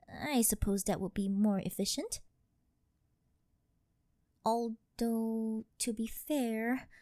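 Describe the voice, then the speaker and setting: A woman's voice: fairly high pitch, warm tone, no room sound, clean studio sound. A woman voicing a rich, doting and possessive older girlfriend in an ASMR roleplay, coaxing and sweet-talking. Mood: coaxing, affectionate, then protective, possessive.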